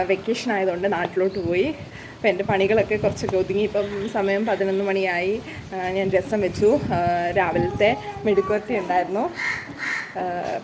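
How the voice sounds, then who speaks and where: A woman's voice: mid-range voice, background noise. A woman with a Malayali accent narrating her day as a vlogger in a casual, conversational, homely storytelling way. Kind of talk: casual daily vlog narration.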